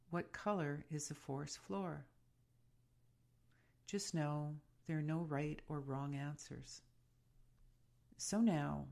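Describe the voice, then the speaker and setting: A woman guiding a meditation in a soothing, unhurried and gently instructive way. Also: low voice, creaky. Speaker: meditation guide and podcast host. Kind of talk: guided meditation narration.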